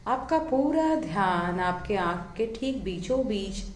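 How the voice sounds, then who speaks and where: A woman leading a guided meditation, giving soothing, measured instructions with drawn-out pauses between phrases. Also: medium pitch, lively intonation, roomy sound, a little hiss or hum.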